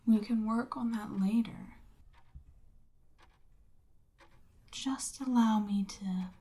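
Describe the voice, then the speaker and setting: A woman voicing a seductive, domineering Demon Queen in an ASMR roleplay, breathy and often near a whisper, with a smug, coaxing, commanding manner. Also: medium pitch, dark tone, close to an everyday voice. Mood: persuasive, coaxing.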